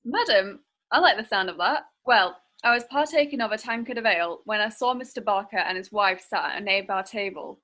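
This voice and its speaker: A woman's voice: mid-range voice, a little fast, few pauses, bright, forceful tone. A woman playing a chatty, cheeky tavern-going witness in a period courtroom radio play.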